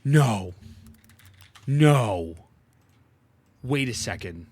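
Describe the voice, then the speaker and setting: A man's voice: mid-range voice, expressive, extremely slow, a little mellow, husky, no room sound. A young man doing casual, slangy reaction commentary as a gaming streamer, often repeating short exclamations.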